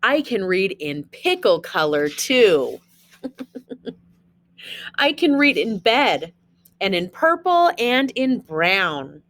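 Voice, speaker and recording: A woman's voice: mid-range voice, sing-song, drawn-out words, pauses often, fairly bright tone. A woman teacher reading a children's storybook aloud, expressively, with chatty asides and questions to young listeners.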